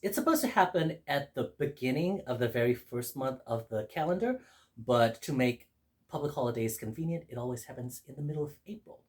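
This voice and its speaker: A man from Burma hosting guests at his home, casually explaining a festival with a lively storytelling manner. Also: mid-range voice, a little fast. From Mandalay, Burma. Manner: lively storyteller, laughs while telling. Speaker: host. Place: home in Brooklyn.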